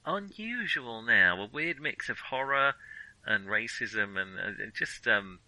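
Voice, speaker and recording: A man's voice: mid-range voice, lively intonation, slow pace, crowd noise, rough recording. A man in his forties co-hosting a podcast, talking films casually in a hesitant, self-questioning, rambling way. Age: 40s. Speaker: podcast co-host. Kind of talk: casual film discussion.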